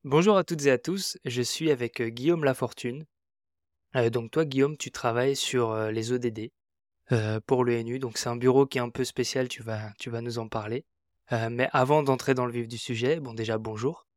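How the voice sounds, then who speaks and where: Man, podcast host, casual hesitant questions, mid pitch, dry close sound. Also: steady tone, normal speed, no echo. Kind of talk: podcast interview.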